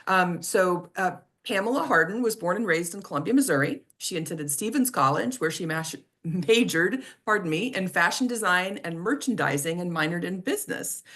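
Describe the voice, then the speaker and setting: A woman's voice: on the low side, expressive, normal speed, fairly bright tone. A woman hosting a club meeting, reading a friendly introduction of the guest speaker with small self-corrections.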